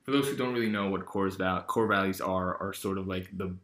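A man's voice: deep voice, brisk, fairly bright tone, echoey room. A teenage boy hosting a casual podcast, talking in a rambling way with lots of fillers and restarts. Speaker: podcast host.

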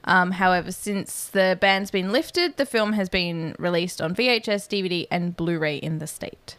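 A woman's voice: lowish voice, moderate pace, fairly bright tone, clear, resonant tone, no room sound. Chatty Australian woman, dry podcast sound. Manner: laughing, wry, chatty. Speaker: podcast co-host. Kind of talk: casual banter.

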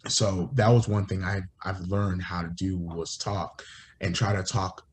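A man who grew up in Connecticut, telling stories in a casual podcast chat, animated, slangy and swearing. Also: deep voice, a little slow, noisy background.

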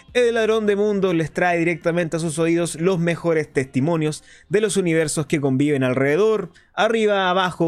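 An actor playing a flamboyant, sardonic underground radio host in a radio drama, talking in a grandiloquent, ironic, defiant way. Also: male voice, a little high, lightly acted. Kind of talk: theatrical radio drama monologue.

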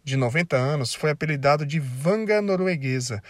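A Brazilian man narrates his own video in a casual, jokey way. His voice sits slightly high, and he tosses in slangy asides. The recording is dry and close, with no room sound. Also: animated, moderate pace, dry recording. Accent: Brazilian Portuguese. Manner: jokey, with slang asides and stumbles over names.

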